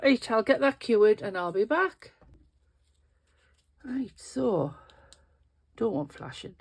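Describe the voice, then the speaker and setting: A woman's voice: on the high side, big pitch swings, slow pace, husky. Sniffly woman, middle-aged, casual chatty craft host talking through her work. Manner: chatty, thinking aloud while working with her hands. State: sniffly and nasal. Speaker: resin craft video host. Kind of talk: casual craft tutorial talk.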